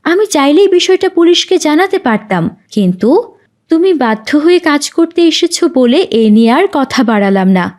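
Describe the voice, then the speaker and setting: A woman's voice: high-pitched, sing-song, normal speed, darkish tone. A woman voicing an earnest, preachy young aunt in a cartoon. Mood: concerned, admonishing. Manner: earnest, admonishing. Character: kindly, preachy young aunt helping street children. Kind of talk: scripted cartoon dialogue.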